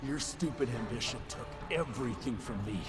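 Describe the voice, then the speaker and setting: An actor playing a grim, determined vampire hunter in a video game, terse and commanding in delivery. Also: male voice, medium pitch, expressive, brisk, close to an everyday voice. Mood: bitter, resolute. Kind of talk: action game cutscene acting. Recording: very loud music underneath.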